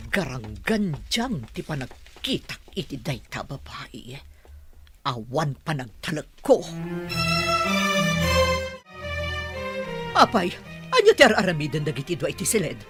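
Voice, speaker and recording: A woman's voice: low-pitched, wildly swooping pitch, normal speed, faint music behind, full character voice. A woman playing a suspicious, haughty mother of a grown son, scolding and nagging in an audio drama. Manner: sharp, nagging, exclamatory. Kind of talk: melodramatic audio drama acting.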